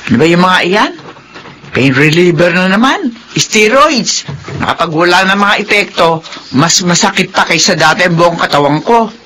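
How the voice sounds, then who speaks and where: A woman's voice: fairly low pitch, animated, normal speed, pushed and bright. A woman playing a sick, weary, stubborn mother in a radio drama, plaintive and emphatic. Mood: bitter, stubborn. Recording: faint background noise.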